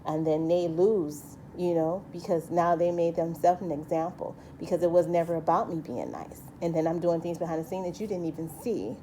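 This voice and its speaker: A woman's voice: fairly low pitch, moderate pace, hardly any pauses, dark tone, slight background noise, poor audio. Earnest rambling woman, light voice. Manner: rambling, earnest, many restarts and "you know". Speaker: vlogger. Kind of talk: personal monologue to camera.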